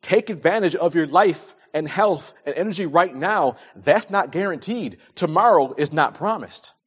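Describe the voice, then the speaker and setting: Man, early 40s, preacher, fairly high voice, emphatic and repetitive delivery, muffled lo-fi sound. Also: lively intonation, moderate pace, a bit bright, breathy edge. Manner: emphatic, repeats phrases for stress. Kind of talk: sermon with scripture readings and plain advice. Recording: dull lo-fi recording.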